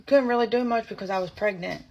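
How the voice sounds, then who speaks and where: A woman's voice: medium pitch, vocal fry, dull audio. A woman with a strained, choppy voice, telling her personal story to camera in short, blunt bursts. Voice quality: strained, choppy voice from spasmodic dysphonia. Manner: blunt, indignant, talks in short halting bursts. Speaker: vlogger.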